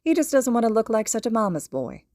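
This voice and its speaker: Storybook role: affectionate, cheerful mum, played by a woman, gentle, friendly, raised pitch. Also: very animated, fast, no echo, clean studio sound. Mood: amused. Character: gentle, cheerful, affectionate young boy's mother.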